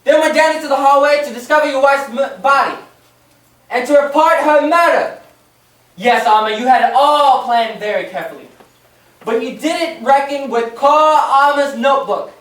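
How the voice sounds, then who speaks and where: An actor playing a stern, accusing investigator in an old-time radio play, speaking deliberately and theatrically. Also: high voice, fairly bright tone, roomy sound, distant mic, low-quality recording.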